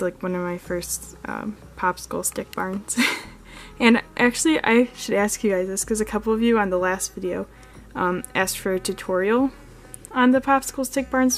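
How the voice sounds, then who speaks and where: A woman hosting her own channel, giving a casual walkthrough in a carpeted room while filming close with a handheld camera. She talks in a chatty way, with many "like"s and "um"s. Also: medium pitch. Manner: chatty, filler-heavy, frequent "like" and "um". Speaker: model horse channel host.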